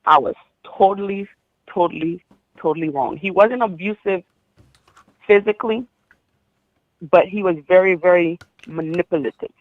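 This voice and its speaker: A woman of about forty, born in Haiti, calling in to a show and recounting her life in a halting way, full of ums and restarts. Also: on the low side, no room sound. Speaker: caller. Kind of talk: personal story on a call-in show. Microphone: phone. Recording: dull dry phone line.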